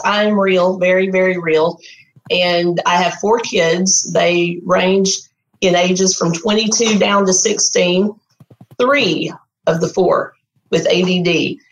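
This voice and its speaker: A woman's voice: on the low side, reverberant, slight background noise, rough recording. Earnest Texan woman telling her story. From Texas. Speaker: guest, program graduate. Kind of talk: personal testimony.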